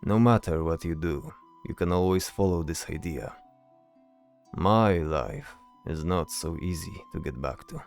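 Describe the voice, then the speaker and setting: Man playing a brooding male soldier, low voice, slow delivery, solemn, hesitant, earnest, fantasy audio drama. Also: gravelly, a little mellow, soft background music. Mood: resentful, weary.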